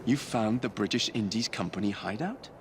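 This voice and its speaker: A man's voice: lowish voice, normal speed, noisy background, close to an everyday voice. A man playing a brash young Victorian London assassin in a video game, terse and determined. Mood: determined, suspicious. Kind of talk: video game cutscene acting.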